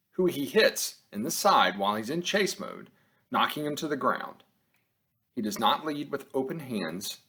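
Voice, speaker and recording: A man giving scripted instructional narration over game film like an officiating instructor, in measured, matter-of-fact short phrases. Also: medium pitch, a bit bright.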